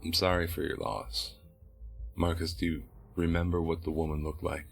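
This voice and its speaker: A police detective in an audio drama, with a deep, husky voice. He questions in a measured, firm way, patient but worn down. Soft music sits underneath. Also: little pitch movement, full character voice. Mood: measured, solemn. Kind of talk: naturalistic audio drama acting.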